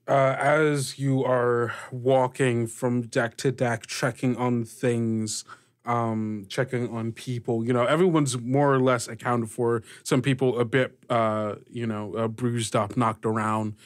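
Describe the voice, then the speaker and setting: A man narrating a tabletop game as game master, improvising in a halting way and addressing the players directly. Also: medium pitch.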